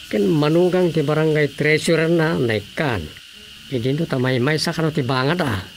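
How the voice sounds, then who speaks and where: A man's voice: on the high side, moderate pace, slight background noise, close to an everyday voice. A man with an Ilocano accent acting a patient village council officer in an Ilocano radio drama comedy. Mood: confiding.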